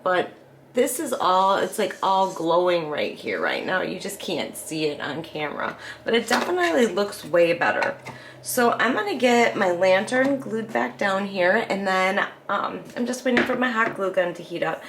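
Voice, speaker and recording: Chatty woman with a raspy voice from a cold. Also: medium pitch, lively intonation, moderate pace, noisy background. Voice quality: slightly raspy. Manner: rambling, chatty, frequent ums and self-corrections. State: raspy from a cold, getting over a cough. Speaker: craft channel host. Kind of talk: casual crafting tutorial chat. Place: home craft table in the evening.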